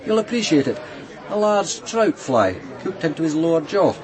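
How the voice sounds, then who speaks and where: A hard-nosed police detective in a radio crime drama. His voice sits a little above average for a male, and he talks bluntly, with wry understatement and quiet pressure. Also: a little high, sing-song, moderate pace, a crowd in the background. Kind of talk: naturalistic radio drama acting.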